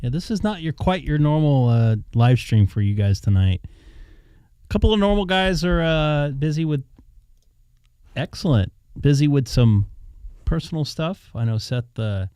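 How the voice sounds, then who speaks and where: A man's voice: on the high side, lively intonation, a little slow, dark tone, clean tone, no echo, clean studio sound. A man hosting a radio hobby live stream, chatting casually and thinking aloud in a rambling way. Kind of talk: casual chat. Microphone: dynamic studio mic held in hand.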